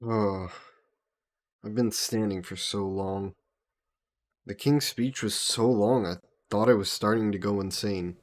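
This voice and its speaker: A man's voice: lowish voice, steady tone, slight background noise, close to an everyday voice. A young man playing an easygoing, teasing fantasy hero from Earth in a roleplay audio, chatting fondly to the listener. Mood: reflective, casual. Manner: unhurried, chatty, playfully teasing.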